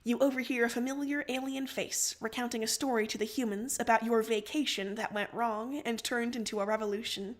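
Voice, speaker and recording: Female narrator, higher-pitched voice, expressive read-aloud storytelling for a stream. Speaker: livestream host reading fan fiction aloud.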